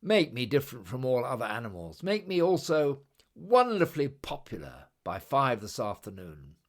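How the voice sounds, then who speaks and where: A man's voice: mid-range voice, wildly swooping pitch, normal speed, voice pushed up. Man voicing a proud, insistent old storybook kangaroo. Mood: demanding. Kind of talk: storybook character voice.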